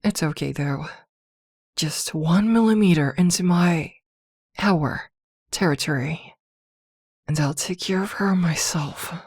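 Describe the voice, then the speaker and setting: A woman playing a possessive, protective witch girlfriend in an intimate ASMR roleplay, with a soft, coaxing murmur. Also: low voice, takes it slowly, long pauses, husky, no room sound, clean studio sound, heavily acted. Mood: protective, vengeful.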